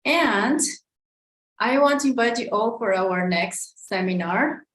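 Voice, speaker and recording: A woman's voice: fairly high pitch, lively intonation, slow pace, a bit bright. A woman hosting an online seminar, introducing the speaker in a friendly, hesitant way with frequent ums. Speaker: seminar host. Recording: echoey room with faint noise.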